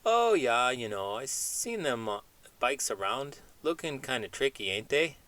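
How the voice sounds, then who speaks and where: A man's voice: mid-range voice, very animated, a little slow, a bit bright. A man voicing a folksy, slangy chimpanzee from Minnesota, a chatty big dreamer bantering in a children's read-aloud. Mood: curious, playful. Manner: folksy, drawling slangy grammar with "them" and "ain't".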